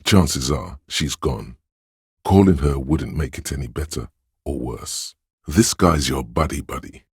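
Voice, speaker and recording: A man voicing an insinuating inner voice in a video game, narrating in an intimate, coaxing, knowing and measured way, with a very deep, husky tone. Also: lively intonation, dry recording, clean studio sound, heavily acted. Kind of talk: scripted second-person game narration.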